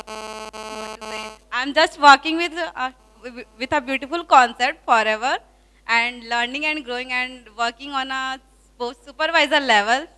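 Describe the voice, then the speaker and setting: Halting young Indian woman, high voice. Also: sharp tone, slight background noise, low-quality recording. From India, near Nepal border. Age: young adult. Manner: halting, searching for words. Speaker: new student. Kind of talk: self-introduction.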